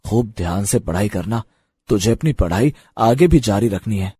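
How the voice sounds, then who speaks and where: A man playing a schoolgirl's earnest, encouraging father in a moral story, with measured, exhorting delivery. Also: fairly low pitch, expressive, dark tone, breathy edge. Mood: earnest encouragement. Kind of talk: acted dialogue in a moral story. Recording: long hollow reverb.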